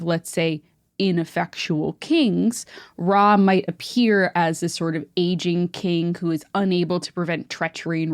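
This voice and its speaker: Low-voiced chatty woman podcaster. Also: no room sound, clean studio sound. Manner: chatty, explanatory, with filler "uh" and "like". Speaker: podcast co-host. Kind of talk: casual chat, explaining mythology.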